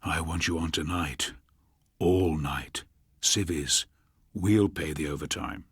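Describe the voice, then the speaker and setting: A man's voice: rumbling low, slow, breathy edge, no room sound, clean studio sound, pitched below the performer's own voice, heavily acted. An older British man voicing a terse, uneasy police detective in an audiobook, clipped and serious. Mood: quiet, firm.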